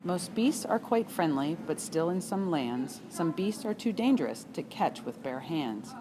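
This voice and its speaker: A woman's voice: fairly low pitch, very animated, unhurried. A woman on staff reading a rhyming storybook aloud. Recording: clear background room noise.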